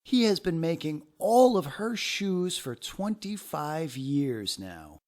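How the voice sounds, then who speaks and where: Male storyteller, fairly high voice, very lively pitch, expressive storybook narration, deliberate phrasing. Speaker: storyteller reading aloud.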